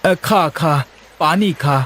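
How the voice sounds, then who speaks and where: A man acts out a hardworking farmer from a village moral cartoon. His pitch sits a bit above average, and he talks with the gentle affection of a doting father. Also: slow pace. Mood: caring. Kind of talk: Bengali moral-story cartoon voice acting.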